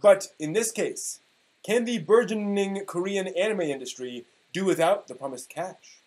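This is a man in his forties with a voice a little higher than usual. He reads an online post aloud in a theatrical, mock-dramatic style. His delivery is emphatic and sometimes halting. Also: a little mellow. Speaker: performer reading old forum posts. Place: small room at home, indoors.

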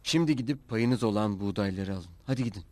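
Cartoon Egyptian governor, male actor: fairly low, dry close sound, measured authority. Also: a little mellow, dry recording, natural voice. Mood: composed. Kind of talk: cartoon dub acting.

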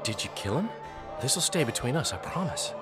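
A man's voice: medium pitch, very animated, moderate pace, lightly acted. Guy playing a chatty cheeky bard type, mid voice, game dialogue w music behind. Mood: prying. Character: cheeky, eloquent male poet, worried friend. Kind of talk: video game character acting.

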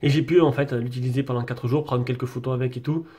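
A man's voice: medium pitch, steady tone, quick pace, dark tone, husky. A man with a French accent and a head cold talking through his nose, chatting casually and hesitantly as he reviews a phone at his desk. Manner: chatty, hesitant, many fillers and restarts. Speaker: tech reviewer. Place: desk setup with a branded backdrop, indoors.

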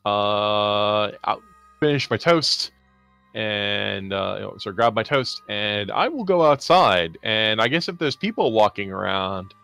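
Gravelly low man musing aloud. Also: lively intonation, a little slow, sharp tone, dry recording, soft background music. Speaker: player. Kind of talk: in-character tabletop play.

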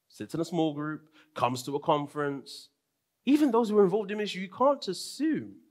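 A young man with a London English accent preaching a sermon from a pulpit, animated, moving between soft asides and raised, emphatic lines. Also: on the high side. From London, England. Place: church stage with purple lighting.

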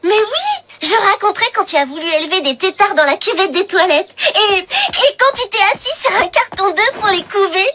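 A woman's voice: very high voice, animated, normal speed, bright, forceful tone, a little hiss or hum, full character voice. A woman playing a sensible, persistent young schoolgirl in a cartoon, earnest and a little exasperated. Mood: wry. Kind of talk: French cartoon dub acting.

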